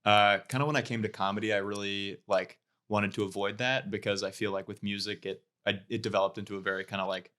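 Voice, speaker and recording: A man's voice: lowish voice, little pitch movement, fast, hardly any pauses, sharp tone. A man chatting casually as a podcast guest, reflective and meandering as he explains himself. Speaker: podcast guest, comedy writer. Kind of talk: casual chat.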